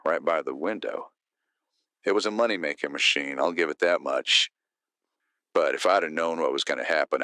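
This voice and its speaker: A man's voice: a bass voice, sharp tone, no room sound, full character voice. A man playing a plain-spoken, regretful arcade owner being interviewed in a radio drama.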